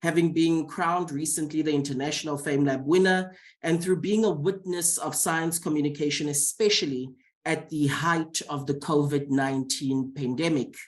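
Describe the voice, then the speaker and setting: A man with a cold, a scientist, giving a measured, formal talk on a panel over a video call. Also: a little high, steady tone. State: has a cold. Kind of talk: prepared talk.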